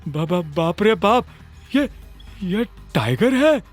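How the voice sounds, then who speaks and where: A man's voice: high-pitched, sing-song, slow, faint music behind, voice pushed up, exaggerated voice. A man voicing a stammering, awestruck, obsequious bank manager in an audio drama. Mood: startled, flustered.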